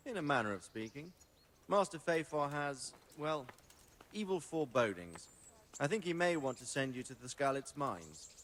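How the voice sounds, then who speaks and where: Tactful medieval official, lively intonation. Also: male voice, fairly high pitch, normal speed, noisy background. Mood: guarded. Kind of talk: dramatic video game acting.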